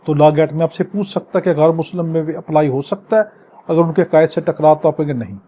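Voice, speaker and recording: A man's voice: a little high, quick pace, mellow, breathy edge, lo-fi sound. A man with a Pakistani accent teaching law in an exam-prep lecture, reading clauses aloud and explaining them emphatically, repeating key points.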